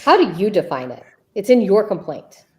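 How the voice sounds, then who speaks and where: A woman reading a deposition transcript as a persistent attorney who needles a witness and repeats questions deliberately. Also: mid-range voice, big pitch swings, dark tone, lightly acted. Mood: insistent, exasperated. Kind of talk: read-aloud deposition transcript.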